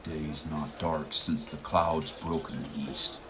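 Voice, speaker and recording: An actor playing a hard, impatient village blacksmith, scolding and commanding in a theatrical radio play style. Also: male voice, rumbling low, flat delivery, slow, fairly bright tone, exaggerated voice. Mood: stern, contemptuous. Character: hard, bossy Irish village blacksmith man, impatient taskmaster.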